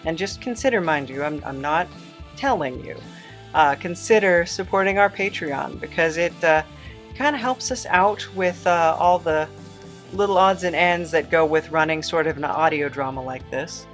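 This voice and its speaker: A woman's voice: low voice, normal speed, faint music behind. A woman hosting a podcast, chatting casually and informally to listeners with some hesitancy. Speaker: host and podcast creator.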